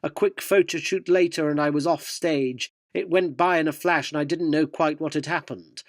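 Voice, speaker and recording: Man playing a cynical higher-voiced tabloid hack. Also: steady tone, moderate pace, dry recording, studio quality, close to an everyday voice. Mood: wry narrating. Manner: wry, wordy, theatrical. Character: cynical, self-loathing male tabloid journalist narrating his tale. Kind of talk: radio drama narration and dialogue.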